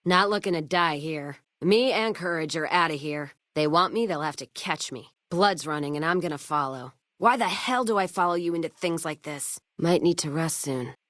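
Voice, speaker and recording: A tough, hard-drinking American caravan woman from a frontier video game. Her voice sits low, recorded close and dry. She talks in folksy, sardonic slang and swears freely. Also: normal speed, few pauses, fairly bright tone, no echo. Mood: strained, weary. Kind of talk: video game character voice lines.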